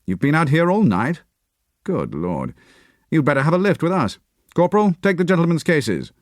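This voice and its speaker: A man's voice: on the high side, sing-song, moderate pace, no echo, clean studio sound, pitched above the performer's own voice. A man putting on a bluff, clipped army captain. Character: brisk, hearty British army captain, man.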